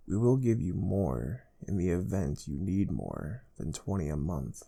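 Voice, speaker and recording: A man playing a calm, soft-spoken space research team interviewer in an ASMR role play, explaining things gently and without hurry. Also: low-pitched, gravelly, husky, exaggerated voice. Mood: calm, instructive. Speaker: ASMR performer.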